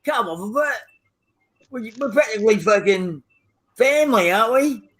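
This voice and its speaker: A man's voice: high-pitched, lively intonation, unhurried, fairly bright tone. An Australian man chatting casually, crude and teasing, swearing heavily. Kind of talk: casual voice chat.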